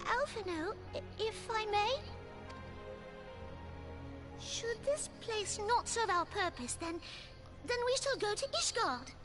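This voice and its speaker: A timid but hopeful young woman from a fantasy game. Her voice is strikingly high and she speaks slowly, with hesitant, formal phrasing. Music is clearly audible behind her. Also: full character voice. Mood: tentative. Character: timid, gentle, earnest young female companion, quietly hopeful. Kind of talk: scripted fantasy game voice acting.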